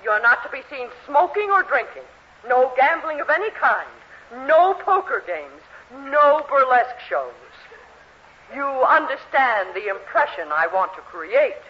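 An actress playing a domineering, self-important middle-aged wife in a 1940s radio sitcom, with a bossy, imperious manner. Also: female voice, high-pitched, sing-song, unhurried. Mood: stern. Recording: dull old 1940s radio recording, faint noise.

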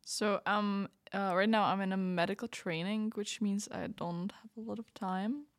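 A woman's voice: medium pitch, fairly even intonation, normal speed, no room sound, close to an everyday voice. A woman playing an earnest young medical trainee in a fiction podcast, speaking a little hesitantly. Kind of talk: fiction podcast panel interview acting.

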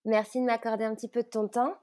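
Mellow-voiced woman interviewer, hesitant phrasing. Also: a little high, little pitch movement, quick pace. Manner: hesitant, repeats words while forming questions. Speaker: interviewer, podcast host. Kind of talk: casual interview.

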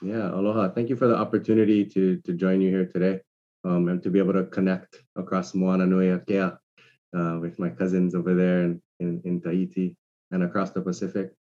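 Deep-voiced guy, slow thoughtful talk, muffled call audio. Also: little pitch movement. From Hawaii. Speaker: guest entrepreneur. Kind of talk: casual conversation.

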